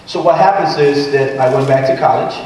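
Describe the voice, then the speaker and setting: A man's voice: mid-range voice, a little fast, darkish tone. Man speaking in a distant echoey hall. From Puerto Rico. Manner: personal, earnest, walks around while talking. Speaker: guest speaker on workforce development. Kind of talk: informal talk to a training group. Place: large echoey meeting room. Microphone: room mic from a distance. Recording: echoey hall, distant mic, faint noise.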